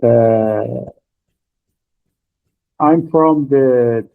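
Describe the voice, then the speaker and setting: A man from Iran speaking very slowly, pausing often between words as he searches for his English. His voice comes through a narrow, phone-like line. The tone is conversational, as on a podcast. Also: mid-range voice, gravelly. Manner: halting, many filler pauses, non-native phrasing. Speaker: podcast co-host. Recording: phone-like thin audio.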